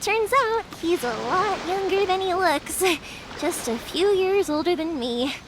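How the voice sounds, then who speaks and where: High, lively young fireworks maker. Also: female voice, unhurried, noisy background. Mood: amused, embarrassed. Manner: breezy, chatty, expressive. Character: cheerful, bold young woman, fireworks maker. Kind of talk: English video game voice acting. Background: background music.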